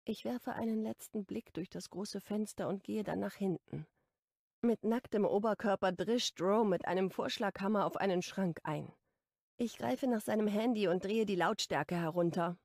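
A woman's voice: medium pitch, moderate pace, creaky, a little mellow, no echo, clean studio sound. A woman reading first-person romance fiction as scripted audiobook narration.

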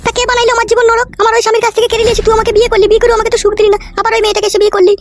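A woman's voice: very high voice, level tone, very fast, slight background noise. A woman voicing a jealous, nagging village wife in a comic cartoon, accusing and coarse.

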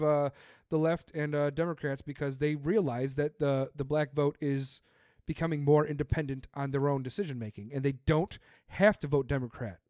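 Man, podcaster, emphatic, swears a lot, fairly high voice, dry sound. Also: a little mellow, no room sound. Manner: emphatic, profane, ranting. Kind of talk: casual political chat.

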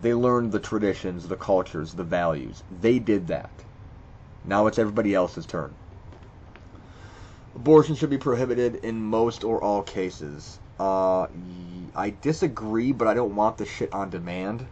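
A man's voice: fairly low pitch, a little slow, darkish tone, highs cut off, a little hiss or hum. Blunt, profane man thinking aloud. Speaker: video creator. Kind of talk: reading quiz questions aloud and reacting. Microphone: screen recording with voice-over.

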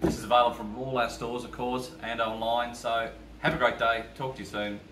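A man's voice: medium pitch, little pitch movement, fairly quick, sharp tone, a little hiss or hum. An older man casually showing a model kit from his hobby shop, rambling with fillers and restarts. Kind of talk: casual product unboxing. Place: hobby shop floor, indoors.

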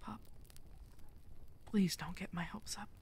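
A woman playing a guilt-ridden, protective exiled werewolf alpha in an intimate ASMR audio drama, halting and emotional, often slipping toward a breathy whisper. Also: medium pitch, darkish tone, full character voice. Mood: tender, moved.

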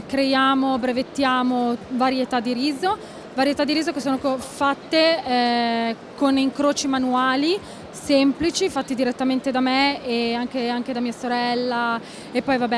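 A woman in her thirties, a rice company owner, giving a hesitant interview at a fair stand on a close handheld mic. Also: high-pitched. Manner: hesitant, many fillers and restarts. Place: busy indoor exhibition hall. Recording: noisy fair hall background.

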